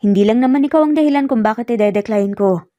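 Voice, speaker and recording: A woman voicing an earnest, teasing young male engineer in an audio romance story, speaking gently and sincerely with bits of English mixed in. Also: fairly high pitch, fast, no room sound, dull audio, close to an everyday voice. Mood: calm explaining.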